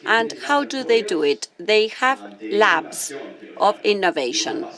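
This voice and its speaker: A man's voice: high-pitched, lively intonation, slow, crowd noise. A man, a university professor on a conference panel, speaking carefully as a non-native speaker with a few restarts. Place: conference hall.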